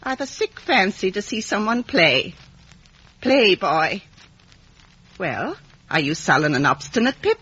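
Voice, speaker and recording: An actress playing a bitter, weary, commanding old lady recluse in a 1950s radio drama, with a husky voice. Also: mid-range voice, big pitch swings, measured pace, pauses often, pushed and bright, exaggerated voice. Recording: dull vintage radio broadcast.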